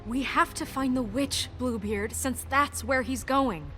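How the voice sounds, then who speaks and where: Firm, higher-voiced woman. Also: unhurried, a bit bright, faint music behind. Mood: wary, concerned. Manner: firm, earnest, insistent. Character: firm, wary, no-nonsense woman in charge. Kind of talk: video game voice acting.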